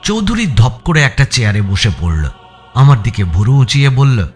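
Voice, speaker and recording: A man narrating a suspense audio story in the first person, with expressive, dramatic delivery. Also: mid-range voice, normal speed. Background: background music.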